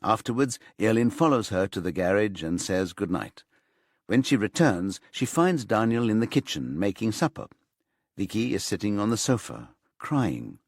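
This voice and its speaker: Low-voiced man narrating a thriller, dry sound. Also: no echo. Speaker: audiobook narrator. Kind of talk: scripted narration of a crime thriller audiobook.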